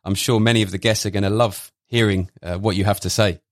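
A man's voice: on the low side, steady tone, fast, no room sound, studio quality. A man hosting a podcast, reading a scripted intro, then asking friendly, somewhat hesitant questions. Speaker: podcast host. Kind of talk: podcast interview.

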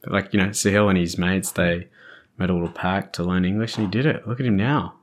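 A man's voice: sits low, fairly even intonation, quick pace. An Australian man hosting a podcast, chatting casually and rambling, often restarting and searching for words. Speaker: podcast host. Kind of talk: casual chat.

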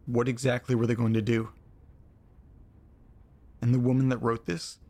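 Man acting as an uneasy, gravelly-edged letter reader. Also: medium pitch, little pitch movement, moderate pace, warm tone, close to an everyday voice. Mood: shaken, curious. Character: troubled man reading strange letters aloud, profane and uneasy. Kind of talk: audio drama narration.

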